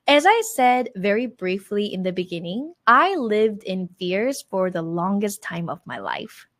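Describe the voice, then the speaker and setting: A woman's voice: mid-range voice, big pitch swings, a little slow. A woman in her thirties teaching an online language masterclass in an encouraging, motivational way, acting out her own past words as she goes.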